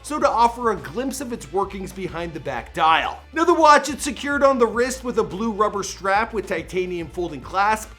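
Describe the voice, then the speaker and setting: Brief: a high, bright male host with a Toronto accent, jokey and slangy, with faint music underneath. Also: sing-song, normal speed, hardly any pauses. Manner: jokey, slangy, punchy delivery. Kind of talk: energetic countdown commentary.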